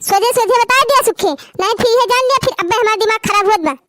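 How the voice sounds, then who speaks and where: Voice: extremely high pitch, fast, very noisy. A performer voicing an angry, bossy village sister-in-law with an Awadhi accent, scolding and threatening in a rural comedy cartoon. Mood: accusing, stern. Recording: music behind the voice.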